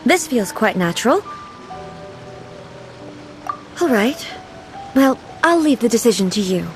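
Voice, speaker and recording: A woman playing a solemn, naive deity ruler in a video game, speaking in a formal, measured, earnest way. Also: a little high, big pitch swings, faint music behind. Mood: self-conscious. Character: solemn, naive female deity ruler, puzzled by modern things. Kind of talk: scripted video game dialogue.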